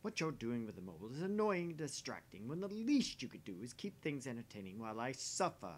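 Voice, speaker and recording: A man's voice: very animated, brisk. A man voicing a smug, jaded husband in a visual novel, mocking and condescending as he teases. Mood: irritated, demanding. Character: smug, sneering, jaded married man teasing his dinner date. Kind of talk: voice-acting visual novel dialogue. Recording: faint background rumble and noise.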